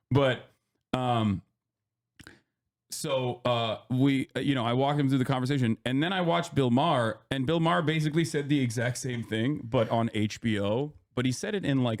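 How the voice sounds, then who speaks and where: A man's voice: mid-range voice, darkish tone. A man hosting a livestream in casual, joking chat, swearing and speaking straight to his chat. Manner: joking, profane, talks to chat. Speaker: streamer host.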